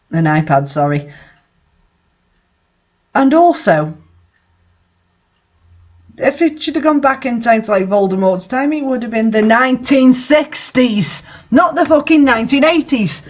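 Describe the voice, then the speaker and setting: A man with a notably high voice hosts a reading of bad fiction. He delivers it theatrically and comments with exasperated, mocking disbelief. The recording is dull and lo-fi. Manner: mocking, exasperated, sweary asides. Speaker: host reading bad fan fiction aloud. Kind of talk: dramatic reading with amused, incredulous commentary.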